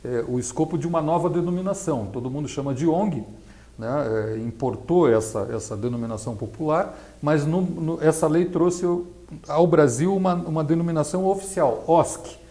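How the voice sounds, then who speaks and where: A middle-aged man explaining his field as an interview guest, didactic and halting, often adding tag questions. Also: fairly high pitch, mellow. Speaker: interview guest, accountant and nonprofit consultant.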